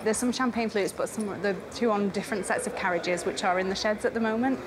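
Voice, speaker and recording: A woman's voice: mid-range voice, fast talker, background noise. Chatty young English woman outdoors. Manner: upbeat, chatty, laughs often. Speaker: railway events organiser. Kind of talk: casual on-location chat. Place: outdoor railway station platform.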